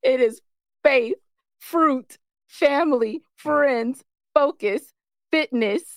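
Female co-host, fairly high voice, close dry sound, playfully argumentative and expressive in casual couple banter. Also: very slow, dry recording. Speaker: co-host of a couple's relationship show. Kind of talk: casual, teasing relationship banter.

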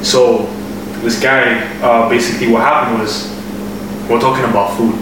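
A man's voice: mid-range voice, fairly even intonation, normal speed. Brash joking man in an echoey room. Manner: blunt, brash and joking, slangy. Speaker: podcast co-host. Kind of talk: casual gym podcast chat. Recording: echoey room, distant mic, noisy.

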